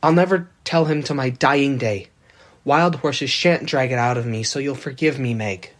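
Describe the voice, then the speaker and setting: A woman's voice: extremely low pitch, normal speed, pitched below the performer's own voice. A woman reading aloud, voicing a proud, hot-tempered young man who sulks and talks back. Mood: pleading. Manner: sulky, defiant.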